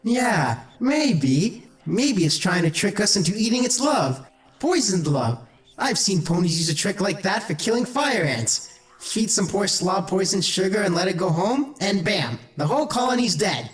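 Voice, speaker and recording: A man's voice: high-pitched, sing-song, a little slow, faint music behind. A man voicing a suspicious, speculating changeling from an insect-pony swarm, with a conspiratorial manner, in an audio drama. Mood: suspicious musing. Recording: roomy, echoing voice track.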